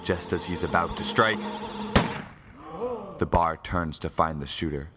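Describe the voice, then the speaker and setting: A man's voice: sits low, steady tone, measured pace, over loud music. A man narrating an old-time radio crime serial in a dramatic scripted style.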